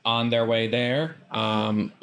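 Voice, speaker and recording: A man's voice: medium pitch, fairly even intonation, slow, fairly bright tone. A man running a tabletop game as game master, recapping in a loose, joking way.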